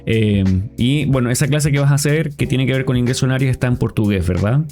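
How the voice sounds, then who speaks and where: A man hosting a podcast, talking in a calm, reflective way with many hesitant pauses. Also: mid-range voice, faint music behind. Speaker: podcast host. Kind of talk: casual podcast conversation.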